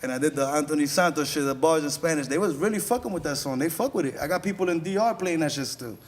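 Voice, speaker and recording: A man's voice: fairly high pitch, expressive, fast. A man who is a rapper guest chatting casually on a podcast, telling stories in a loose, slangy way with lots of restarts. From United States.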